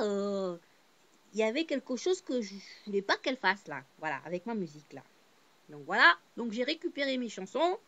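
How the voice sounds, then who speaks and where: A woman born in Portugal to a Cape Verdean family, telling a personal story in a casual, rambling way with many fillers and restarts. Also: mid-range voice. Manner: heartfelt, swings between subdued and animated.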